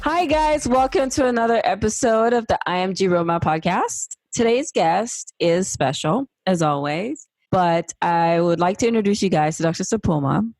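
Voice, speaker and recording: A woman's voice: medium pitch, expressive, normal speed, hardly any pauses, darkish tone, dry recording, faint music behind. A woman hosting a podcast, upbeat and encouraging as she introduces and questions her guest. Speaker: podcast host.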